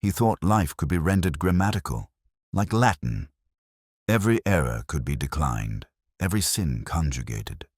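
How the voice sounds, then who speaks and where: Deep-voiced guy reading as a wistful academic lady in a mystery story, quiet, careful, a bit cynical, dry sound. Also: expressive, normal speed, husky, no echo, full character voice. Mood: wistful, wry. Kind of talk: quoted dialogue within detective story narration.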